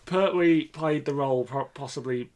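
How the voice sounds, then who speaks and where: A man's voice: a little high, normal speed, a little mellow. A man co-hosting a podcast, talking casually with opinionated, sweary remarks in a review chat. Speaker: podcast co-host.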